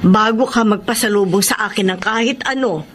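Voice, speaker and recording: A woman's voice: medium pitch, a little fast, background noise, natural voice. An actress playing a stern, domineering middle-aged mother-in-law who scolds imperiously in a radio drama. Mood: stern, scolding. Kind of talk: Tagalog radio drama acting.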